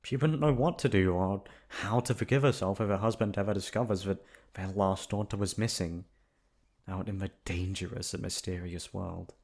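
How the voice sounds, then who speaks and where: Low-voiced man narrating a bedtime story. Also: moderate pace, a little mellow, slightly rough. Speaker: voice actor reading a bedtime story. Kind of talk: soft storybook narration, ASMR sleep aid.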